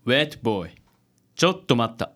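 A man's voice: mid-range voice, very animated, fast talker, fairly bright tone. A man playing a suave, overzealous, self-styled handsome man in a comic radio drama, pompous and passionate, sprinkling in English words. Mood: indignant, passionate.

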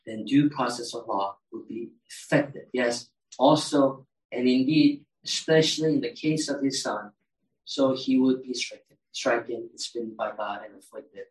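A man preaching a sermon in a conversational way, asking his congregation questions as he goes. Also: mid-range voice. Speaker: pastor. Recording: roomy echo, mic at a distance.

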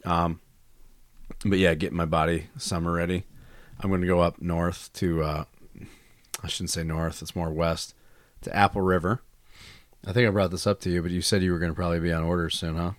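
Crude, rambling man with a very deep voice. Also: level tone, moderate pace, pauses often, no echo, studio quality. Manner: crude, rambling, swears a lot. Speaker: podcast host. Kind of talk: casual chat. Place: indoor room recording a podcast. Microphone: studio mic he monitors himself.

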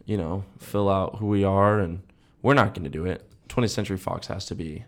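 A man's voice: low-pitched, fairly quick. A young man co-hosting a podcast, chatting casually in slang and acting out what people said as he tells stories. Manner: animated slangy storyteller, acts out quotes. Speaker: podcast co-host. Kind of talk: casual chat, storytelling.

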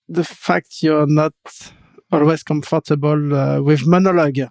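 A man's voice: a little high, steady tone, a little slow, vocal fry, darkish tone, dry recording. French-accented man, laughing interviewer. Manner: laughs often, hesitant English with "uh" fillers. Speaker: podcast editor and co-host. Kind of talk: casual interview.

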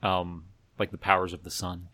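A man's voice: low-pitched, little pitch movement, normal speed, crowd noise. A man co-hosting a podcast, talking informally and a bit hesitantly, with opinions on what he likes. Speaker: podcast co-host. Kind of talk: casual fan discussion of superhero TV.

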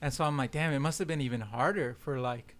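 A man's voice: medium pitch, fast. A young man whose first language is Spanish, a film director guesting on a podcast and talking casually in loose, slangy speech full of "like" and "yo.". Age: young adult. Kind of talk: casual chat.

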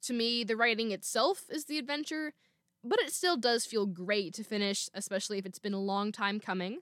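A woman's voice: a little fast, fairly bright tone, no room sound, clean studio sound. A young woman hosting her podcast, reading from a script with small laughs now and then. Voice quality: naturally lower female voice. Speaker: podcast host. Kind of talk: scripted solo podcast talk. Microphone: home microphone.

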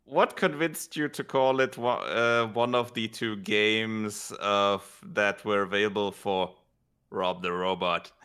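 A man co-hosting a casual podcast, speaking in a wry, amused, measured way. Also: fairly low pitch, fairly even intonation, pushed and bright, clear, resonant tone.

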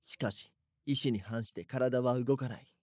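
A man's voice: medium pitch, moderate pace, darkish tone, no echo, clean studio sound, close to an everyday voice. A man voice-acting a timid, frightened young man who narrates in the first person in an audio drama, hesitant and uneasy. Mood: panicked.

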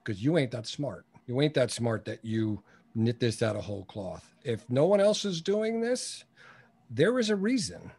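A man's voice: mid-range voice, very animated, moderate pace, gravelly, a little mellow, husky. Blunt, opinionated man in his early sixties. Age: early 60s. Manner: blunt, opinionated, emphatic, punchy colloquial phrasing. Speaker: interview guest, business coach. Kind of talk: conversational interview with anecdotes and advice.

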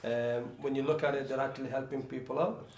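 A man's voice: medium pitch, fairly even intonation, quick pace, darkish tone. A Bengali-speaking man who is a local councillor, talking in an interview in a hesitant way, full of fillers and repeated phrases. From Bangladesh. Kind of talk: conversational interview. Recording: echoey room with faint background noise.

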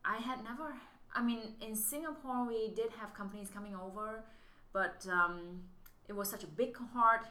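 A woman's voice: a little high, moderate pace, hardly any pauses, bright, forceful tone. Woman in an echoey room. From Vietnam. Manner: thoughtful, restarts often, non-native English phrasing. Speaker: MBA student guest. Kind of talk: casual interview answers. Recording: echoey room with faint noise.

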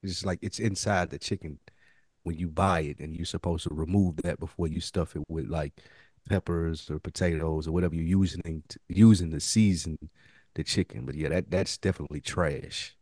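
A man's voice: sits low, fairly even intonation, a little fast, a little mellow, no echo, clean studio sound. A man co-hosting a podcast, explaining things in casual, slangy conversation. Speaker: podcast co-host reviewing a TV series. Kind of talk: casual chat.

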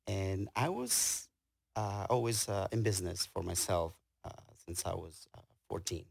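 A middle-aged man with a Guatemalan accent, talking casually and haltingly as an interview guest, telling stories about himself. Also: sits low, lively intonation, gravelly, darkish tone, no room sound, studio quality. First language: Spanish. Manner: halting, hesitant delivery. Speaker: interview guest, tailor and business owner. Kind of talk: casual conversational storytelling.

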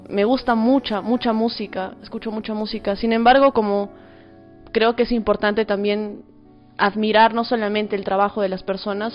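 A woman's voice: medium pitch, lo-fi sound. Peruvian woman singer answering in an interview. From Peru. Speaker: interview guest, singer-songwriter.

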